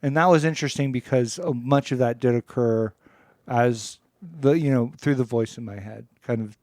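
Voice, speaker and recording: A man hosting a comedy podcast in a casual, deadpan way with a deliberate, halting delivery. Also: mid-range voice, no room sound, studio quality. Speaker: podcast host.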